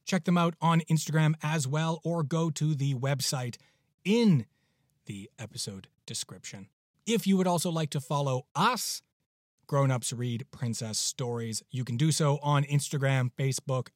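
A man's voice: mid-range voice, unhurried, no room sound, clean studio sound. A man speaking as a podcast host, giving a casual outro.

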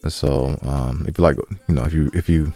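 A man's voice: a bass voice, fairly even intonation, brisk, dark tone, no echo. A man co-hosting a podcast in casual, slangy talk, repeating phrases for emphasis, with music behind him. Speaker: podcast co-host.

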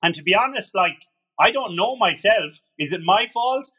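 A man's voice: sits high, animated, moderate pace, bright, forceful tone, husky. An Irish man hosting a podcast, talking earnestly in a casual chat with stammering restarts. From Ireland. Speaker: podcast host.

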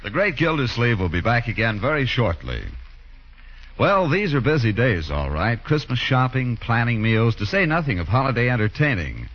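Casting: male vintage radio pitchman, medium voice, very lively intonation, polished sell. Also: moderate pace. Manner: polished, emphatic salesmanship. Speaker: radio announcer. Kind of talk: scripted 1940s radio advertising. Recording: dull 1940s radio recording.

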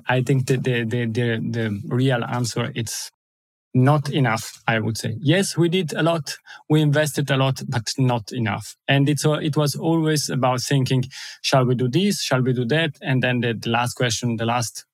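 A man's voice: medium pitch, moderate pace, no echo. A middle-aged man with an Italian accent, a guest in an interview, talking with enthusiasm, often repeating words and laughing. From Italy and Switzerland.

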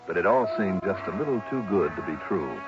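An actor playing a wry, wary American investigator in an old radio drama, talking in a folksy, emphatic, conversational way. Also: male voice, deep voice, lively intonation, brisk. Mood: suspicious, narrating. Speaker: lead actor and narrator. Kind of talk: 1950s-60s American radio detective acting, first-person narration and dialogue. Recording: dull vintage radio recording with music.

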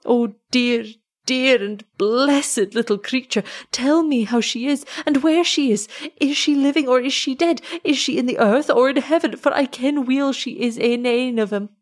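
A woman voicing an excitable, tender old shepherd in a story, with a Scottish accent and broad, exclamatory dialect speech. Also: high-pitched, normal speed, pitched above the performer's own voice, lightly acted. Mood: tearful, overjoyed.

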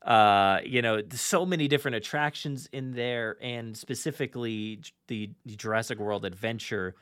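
A man's voice: mid-range voice, moderate pace, fairly bright tone, clean tone, no echo, studio quality. A man hosting a podcast in casual fan chat, enthusiastic but often restarting and repeating his phrases. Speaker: podcast host.

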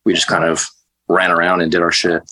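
A man's voice: low-pitched, completely flat delivery, brisk, sharp tone. A man from West Texas, a band musician, telling stories in a casual interview in a loose, laid-back way with plenty of fillers and some swearing. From West Texas, USA.

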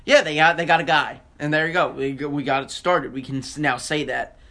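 A man's voice: fairly high pitch, fairly even intonation, brisk, pushed and bright. An American man co-hosting a sports podcast, arguing his points emphatically and repeating phrases to drive them home in casual debate.

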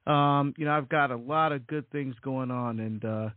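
Animated man from Barbados on a phone line. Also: medium pitch, moderate pace, no room sound. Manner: animated storyteller, many fillers and repeated words. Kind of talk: casual interview. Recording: tinny phone-like audio, dry.

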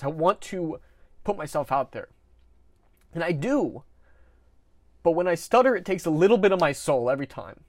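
A man hosting a podcast, talking candidly and quietly, with frequent stuttered repetitions. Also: a little high, dry recording. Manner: stutters with sound repetitions, soft and confessional. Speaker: podcast host.

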